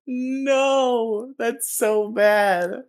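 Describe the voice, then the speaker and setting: This co-host of a casual podcast chats at a middling pitch. They laugh easily and answer with theatrical, exaggerated sympathy. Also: steady tone, very slow, a crowd in the background. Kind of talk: casual chat.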